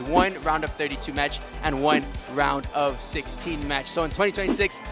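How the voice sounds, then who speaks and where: Man, soccer vlogger, friendly and eager, slightly high voice, music bed behind. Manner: upbeat, addresses viewers as guys. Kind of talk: travel vlog narration. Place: outdoors and inside a large stadium.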